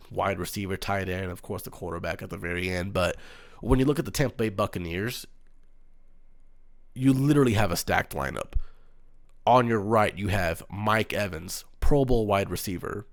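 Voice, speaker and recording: A man hosting his own sports talk show, speaking in a calm, earnest monotone with frequent pauses and "um"s. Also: low-pitched, dry recording, clean studio sound. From United States. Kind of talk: solo sports commentary.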